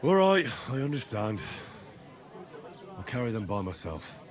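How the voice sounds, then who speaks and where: An actor playing a patient, practical young commoner in a video game, down-to-earth and a little wry. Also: male voice, medium pitch, sing-song, a little slow. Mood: reasonable. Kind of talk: dramatic video game dialogue.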